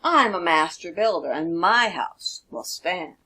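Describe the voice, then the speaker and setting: A woman reads as a sturdy, practical brother pig in a picture book. He makes his statements firmly and confidently, slowly, with a husky edge and lively pitch. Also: full character voice. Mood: defiant. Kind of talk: children's storybook read-aloud.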